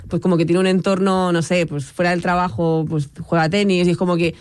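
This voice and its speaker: Quick-talking young woman from Chile. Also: on the low side, level tone, clear, resonant tone, no echo. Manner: rambling, self-correcting, many restarts. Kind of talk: casual chat.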